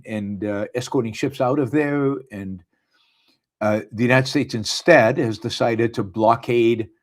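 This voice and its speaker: Halting Israeli-accented man hosting live. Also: mid-range voice. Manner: halting, many fillers and restarts. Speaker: podcast host. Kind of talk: live casual monologue. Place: abroad, speaking on a live stream.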